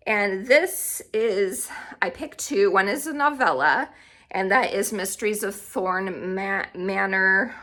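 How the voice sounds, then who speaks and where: Bright-voiced woman chatting casually about her picks. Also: mid-range voice. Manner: informal, thinks aloud. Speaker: book vlogger. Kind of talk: casual, chatty book tag video.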